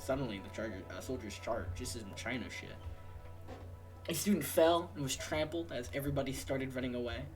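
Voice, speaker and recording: A man's voice: mid-range voice, a little fast, faint music behind, low-quality recording. A man reading a video game's narration aloud to friends in an informal way, with casual asides. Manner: informal, swearing, joking asides. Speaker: host reading the game aloud.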